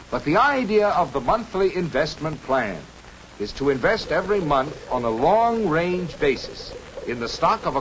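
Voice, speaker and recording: An actor playing an earnest, reassuring American stockbroker who explains things in an instructive, salesmanlike way in a 1950s educational cartoon. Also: male voice, mid-range voice, a little hiss or hum, lightly acted, rough recording. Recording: 1950s film soundtrack with music. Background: music.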